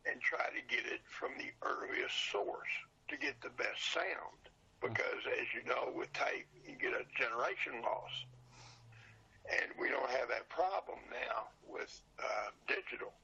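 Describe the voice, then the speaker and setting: Older man, very husky, creaky, lively pitch, plain-spoken, casual interview talk, lo-fi sound. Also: measured pace. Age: older, retired. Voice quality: raspy, husky, gravelly. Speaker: podcast guest, seller of old radio show recordings.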